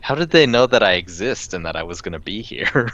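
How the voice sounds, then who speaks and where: Man, low voice, lively pitch, bright tone, rambling game talk, laughs a lot. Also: brisk, muffled recording. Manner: rambling, laughs often, many restarts. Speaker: podcast co-host. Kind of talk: casual gaming chat.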